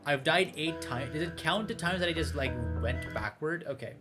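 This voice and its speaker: A man's voice: fairly high pitch, expressive, normal speed, music in the background. A man playing a visual novel, reading the text aloud and chatting casually in a playful, rambling way, sometimes stumbling over the words. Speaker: gaming streamer.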